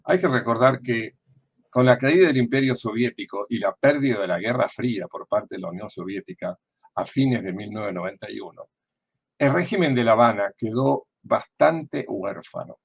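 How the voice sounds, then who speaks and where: An older man with an Argentine accent giving political commentary in a deliberate, didactic way, often posing questions and answering them himself. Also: fairly low pitch, lively intonation, gravelly, slightly rough, lo-fi sound. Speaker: former diplomat and political analyst.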